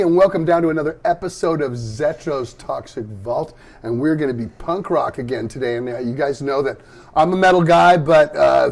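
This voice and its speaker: A man's voice: fairly high pitch, vocal fry, a little mellow. A middle-aged man with an American accent, hosting a casual interview in informal, slangy language. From California, US. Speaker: podcast host.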